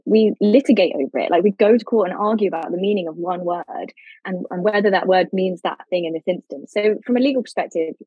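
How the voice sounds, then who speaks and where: A woman's voice: lowish voice, fast, breathy edge, dry recording, highs cut off. A woman speaking as a legal expert guest, explaining things informally and plainly with everyday examples.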